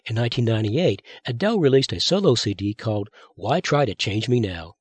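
A man with a husky voice, hosting a radio show and introducing recordings in an informative, conversational way. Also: medium pitch, lively intonation, a little fast, no echo. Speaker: radio host.

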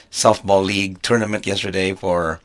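A man co-hosting a casual podcast chat, hoarse from losing his voice, talking haltingly and laughing often. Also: low voice, fairly even intonation, fairly bright tone. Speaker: podcast co-host.

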